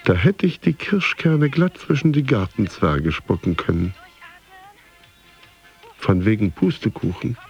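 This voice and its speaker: An actor playing a disgruntled, neurotic office worker who broods and mutters in a radio drama monologue. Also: male voice, lowish voice, expressive, measured pace, pauses often, a little mellow. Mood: bitter, stubborn. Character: disgruntled male office worker, neurotic, grumbling about body and cake. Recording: dull studio sound, faint music behind.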